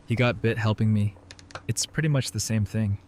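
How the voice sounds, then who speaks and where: A guilt-ridden young ER doctor answers quietly and guardedly, played by a man. His voice sits a little low. This is a scene from a fan audio drama. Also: normal speed, a little hiss or hum, natural voice. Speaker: former ER resident.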